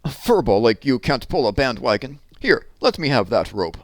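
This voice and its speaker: A man's voice: mid-range voice, sing-song, normal speed, no room sound, pitched above the performer's own voice, lightly acted. A man as a storybook schnauzer, dismissive, bossy, sniffy, dry sound. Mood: scornful. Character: scornful, bossy little schnauzer dog.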